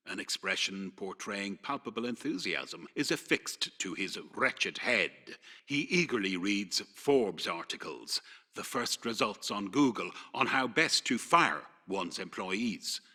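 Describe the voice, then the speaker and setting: Guy narrating a radio drama, lowish voice, raspy edge, punchy theatrical read. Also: bright, forceful tone. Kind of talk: scripted radio play narration.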